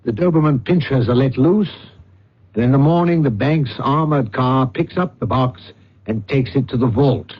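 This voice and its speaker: An actor playing a suave, pompous swindler who poses as a psychic detective, with precise theatrical delivery in a radio drama. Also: male voice, mid-range voice, moderate pace, breathy edge, lightly acted. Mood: scheming. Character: suave, pompous male con-man posing as psychic detective. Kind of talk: old-time radio drama acting. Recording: muffled, hissy old radio recording.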